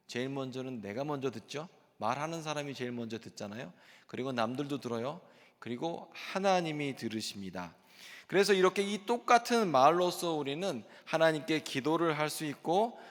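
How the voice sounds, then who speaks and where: Middle-aged guy pastor preaching, earnest and explaining, big echoey church hall. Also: on the high side. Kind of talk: sermon. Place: church sanctuary. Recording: long reverb of a church hall.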